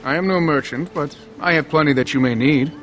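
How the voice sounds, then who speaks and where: A man's voice: fairly high pitch, a little fast, fairly bright tone, close to an everyday voice. An actor voicing a proud, reserved male elf craftsman in a fantasy video game, speaking formally. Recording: game audio with faint background noise.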